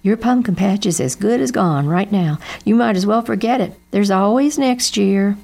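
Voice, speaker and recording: Resigned, sighing mama scarecrow, a woman doing the voice, husky. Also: medium pitch, normal speed, darkish tone, voice pushed up.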